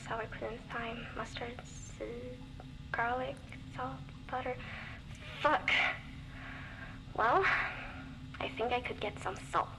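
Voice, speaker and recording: An actress playing a young, lonely, worn-down woman surviving alone in a frozen wasteland, speaking wearily and bluntly in a game's audio diary, with dry humour and frequent swearing. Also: a little high. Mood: wry. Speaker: game character recording audio diaries. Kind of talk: scripted voice acting as a diary log. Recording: noisy cassette tape recording.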